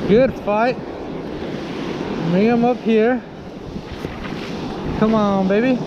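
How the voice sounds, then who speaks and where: A man's voice: high voice, steady tone, drawn-out words. A man chatting casually to viewers in a laid-back fishing vlog, recorded outdoors on a body-worn action camera. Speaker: fishing vlogger. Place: outdoors on an open ocean beach. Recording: muffled action camera, loud wind and surf. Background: surf, waves and wind.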